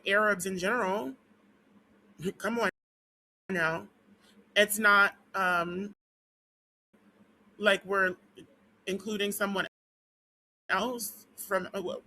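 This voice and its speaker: A woman vlogger talking casually to her viewers in a rambling, profane rant full of "um"s, her voice dry and hoarse as it gives out. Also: medium pitch, pushed and bright, poor audio. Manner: rambling and profane, with frequent "um" and "what do you call it".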